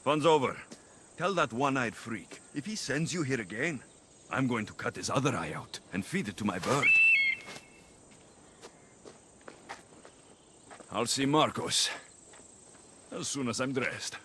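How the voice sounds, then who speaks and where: An actor playing a cocky young mercenary, talking bluntly and sardonically in a video game cutscene. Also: male voice, medium pitch, animated, moderate pace, fairly bright tone, a little hiss or hum, lightly acted. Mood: threatening.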